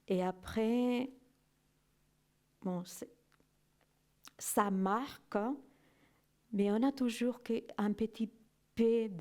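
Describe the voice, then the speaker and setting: A woman speaks slowly and warmly, pausing often as she looks for her words in non-native French. Her voice sits a little low and mellow, and she keeps turning to her listeners with a questioning "non?" as she tells her story. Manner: halting, searching for words, asks "non?" often. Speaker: guest speaker giving a testimony. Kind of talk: slow reflective personal testimony.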